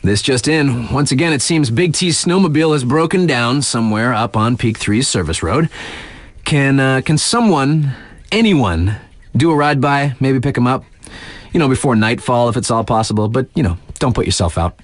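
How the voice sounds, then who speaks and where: An actor playing a laid-back, wry mountain-town radio DJ in a video game, reading mock local news with casual, slangy deadpan asides. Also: male voice, medium pitch, normal speed, no echo, lightly acted.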